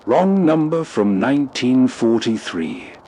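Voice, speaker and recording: Slow, husky male narrator. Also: medium pitch, big pitch swings, mellow. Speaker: narrator, presenter. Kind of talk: scripted atmospheric narration.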